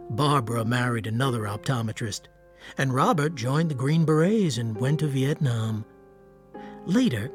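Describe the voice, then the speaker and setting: A man's voice: mid-range voice, close to an everyday voice. An actor playing an earnest, wry war-veteran writer who tells his story in a radio drama. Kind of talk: radio drama acting and literary narration. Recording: music under the voice. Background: music.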